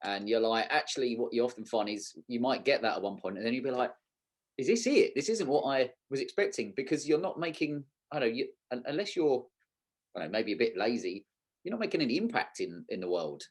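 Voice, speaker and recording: A man's voice: medium pitch, animated, fairly quick. A man hosting a conversational interview podcast, thinking aloud in a reflective, tentative way. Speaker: podcast host.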